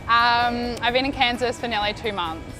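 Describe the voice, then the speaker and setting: A young woman from Australia, a student athlete, answers casually and often opens with "um". Her voice sits fairly high. Loud music plays under her words. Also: moderate pace, rough recording. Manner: casual, starts with "um". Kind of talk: interview answers.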